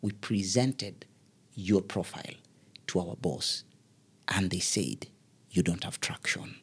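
A middle-aged man, an event MC telling a story as an interview guest, lively and acting out the voices he quotes. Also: deep voice, slow pace, husky. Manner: lively, acts out quoted voices, laughs often.

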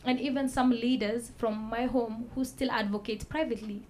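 A woman telling her own story as a talk-show guest, soft-spoken and measured, pausing with fillers. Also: fairly high pitch, fairly even intonation, slight background noise. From Kenya. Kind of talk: personal testimony in a talk show.

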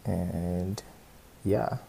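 Brief: young man, very low voice, relaxed and reflective interview answers, from Colorado. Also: extremely slow, vocal fry, a little hiss or hum. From United States, Colorado. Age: young adult. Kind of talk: casual conversation.

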